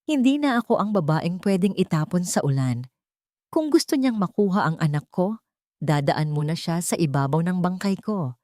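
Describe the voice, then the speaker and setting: A woman's voice: lowish voice, animated, normal speed, a little mellow, no echo, studio quality, natural voice. A woman with a Filipino accent voicing a resolute, protective young mother in an audio storybook. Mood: defiant, firm. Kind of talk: audio storybook character acting.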